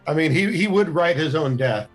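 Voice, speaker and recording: A man's voice: on the high side, animated, moderate pace, faint music behind. A man playing a tabletop game, chatting casually and thinking his moves through aloud in a hesitant, rambling way.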